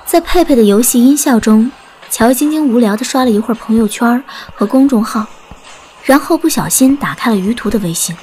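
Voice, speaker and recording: Lively high-voiced woman narrating an audiobook. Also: fairly quick, a little hiss or hum, rough recording. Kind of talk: scripted story narration, audiobook. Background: background music.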